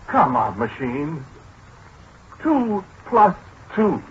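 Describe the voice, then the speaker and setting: Flustered, high-pitched man in old radio drama. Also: big pitch swings, a bit bright, slightly rough, highs cut off, heavily acted. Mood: agitated, pleading. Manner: hesitant, halting, stammering. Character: flustered, earnest man convinced his machine talks. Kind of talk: 1940s radio drama acting. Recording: noisy, band-limited 1940s radio recording.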